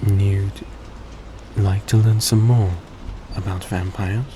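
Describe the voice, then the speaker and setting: A man playing a courteous, gentle vampire in an intimate audio roleplay, speaking politely and a little hesitantly to the listener. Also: fairly low pitch, slow. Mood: explaining, relaxed. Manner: soft-spoken, polite, hesitant, formal phrasing. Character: courteous, gentle male vampire repaying a debt. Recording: steady background noise behind voice.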